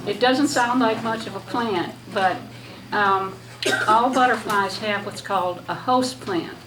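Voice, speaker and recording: Woman guest speaker, gentle and halting, recorded from afar in a noisy hall. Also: medium pitch. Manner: soft-spoken, halting with many fillers. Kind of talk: casual talk to a women's lunch group. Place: echoey hall with audience. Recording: echoey hall, distant, background noise.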